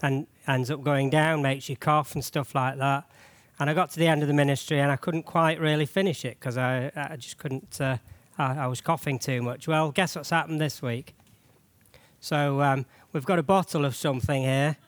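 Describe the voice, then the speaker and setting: A man's voice: a little high, steady tone, moderate pace, dry recording. Man with a cold, preaching. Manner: chatty, jokey, frequent rhetorical questions. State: losing his voice from a cold. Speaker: church treasurer giving a sermon. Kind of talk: informal church sermon.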